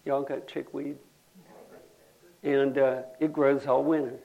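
An older man, telling folksy rambling stories to a gathering and clearing his throat now and then. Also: medium pitch, little pitch movement, slow, a little mellow, muffled recording. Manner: folksy, drawling, says y'all. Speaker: storyteller at a community gathering.

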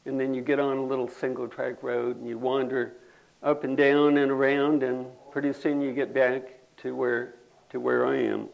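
An older man, telling folksy rambling stories to a gathering and clearing his throat now and then. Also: medium pitch, little pitch movement, normal speed, muffled recording. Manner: folksy, drawling, says y'all. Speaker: storyteller at a community gathering.

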